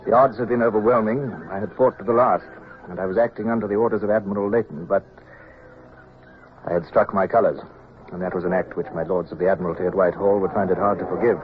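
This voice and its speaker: Guy acting deep grave english sea captain telling his story, old dull radio sound, faint music. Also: fairly even intonation, close to an everyday voice. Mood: grave, reflective. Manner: measured, grave, literary. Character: weary, proud, reflective British naval captain recounting his past. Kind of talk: 1950s radio drama narration.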